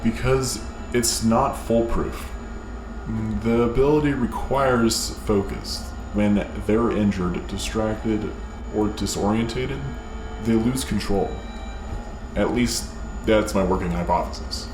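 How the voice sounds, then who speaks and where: An actor playing a serious, analytical male military scientist in a sci-fi audio drama, deliberate and explanatory. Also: on the low side. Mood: analytical, grave. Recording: echoey room under music.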